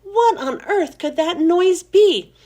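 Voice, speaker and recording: Lively woman reading a children's book. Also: very high voice, takes it slowly. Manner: lively, expressive reading with voices for the characters. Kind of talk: storybook read-aloud.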